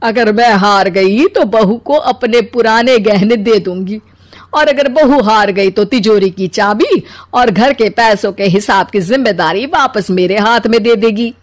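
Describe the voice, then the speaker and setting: Woman playing a slow, emphatic older mother-in-law. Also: medium pitch, lightly acted. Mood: competitive. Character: older mother-in-law, doting sister, jealous of daughter-in-law. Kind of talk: dramatised family storytelling.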